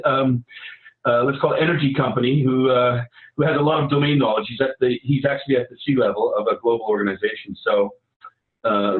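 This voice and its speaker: A man with a Canadian accent giving an informal project pitch in a conversational, somewhat halting way. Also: narrow, tinny audio. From Vancouver, Canada. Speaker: editor and hackathon participant pitching a project. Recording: roomy, echoey sound.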